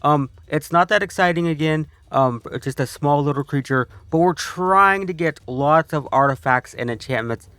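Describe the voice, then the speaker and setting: Young man, congested and stuffy, casual deck guide host, rambling with ums, slightly high voice, dry close sound. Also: normal speed, few pauses, dry recording. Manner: relaxed, rambling, frequent ums and restarts. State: congested from illness. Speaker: video host.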